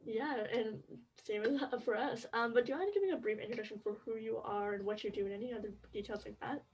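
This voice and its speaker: Brief: young woman host, higher-pitched, upbeat and chatty, sounding a bit off-mic. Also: fast, slight background noise. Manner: upbeat, friendly, uses "like" often. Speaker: podcast host. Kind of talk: casual interview hosting. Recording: distant from the mic.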